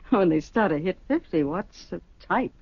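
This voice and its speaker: An actress playing a breezy, worldly young mistress in a radio drama, speaking in casual, matter-of-fact slang. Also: female voice, on the low side, expressive, measured pace, breathy edge, dry recording, full character voice. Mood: breezy, amused. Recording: dull vintage radio recording.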